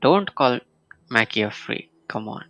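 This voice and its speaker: A man's voice: medium pitch, lively intonation, a little slow, fairly bright tone, lo-fi sound, faint music behind. A man with an Indian accent giving casual, playful, reactive live commentary as he streams a game.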